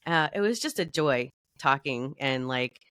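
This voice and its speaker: A woman with a low-pitched voice hosts a podcast in an informal, agreeable way. Her speech is casual chat, and the sound is dry and close. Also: animated, unhurried, a bit bright, clean tone, no room sound. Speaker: podcast host.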